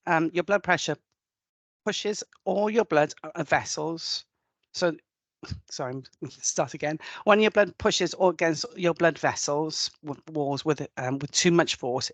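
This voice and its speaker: A man's voice: fairly high pitch, fairly bright tone, no room sound. A man in his forties with a Welsh accent, a nurse presenting a health webinar over a video call, hesitant with restarts and fillers.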